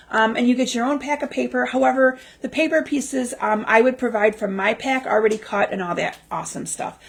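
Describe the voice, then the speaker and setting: A woman hosting a crafting livestream in chatty, casual talk full of ums, her voice slightly raspy from a lingering cough. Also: a little high. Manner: chatty, lots of ums and restarts. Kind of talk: casual live-stream chat. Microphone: livestream mic.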